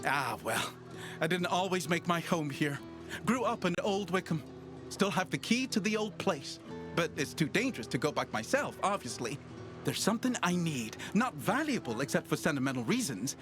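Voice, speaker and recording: An actor playing a merry, flirtatious tavern regular in a fantasy video game, jovial, theatrical and expansive. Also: male voice, a little high, big pitch swings, normal speed. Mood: coy, friendly. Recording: music behind the voice.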